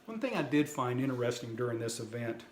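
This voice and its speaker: A man's voice: mid-range voice, sing-song, fast. A man hosting a casual video review, talking to viewers in a plainspoken, folksy way. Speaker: host of a prepper video channel. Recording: roomy echo, light background noise.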